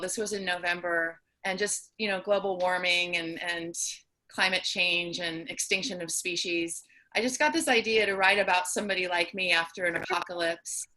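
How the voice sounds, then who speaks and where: A woman's voice: mid-range voice, steady tone, normal speed, bright, forceful tone, low-quality recording. A middle-aged woman playwright casually explaining her own play. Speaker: playwright and performer.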